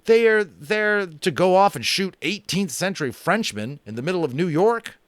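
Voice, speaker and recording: A man's voice: sits high, animated, normal speed, dry recording. Chatty man reading a storybook. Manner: chatty, aside-prone, self-correcting. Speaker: audiobook narrator. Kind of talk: children's book read-aloud with asides.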